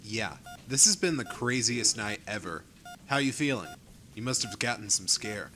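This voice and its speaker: A man's voice: fairly low pitch, lively intonation, normal speed, sharp tone, a little hiss or hum. A man playing a casual, blunt young friend in an amateur radio play. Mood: easygoing.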